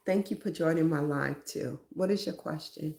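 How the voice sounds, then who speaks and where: A woman's voice: deep voice, normal speed, vocal fry, a little mellow, echoey room. A woman hosting a live stream, giving reflective motivational talk and often asking "right?".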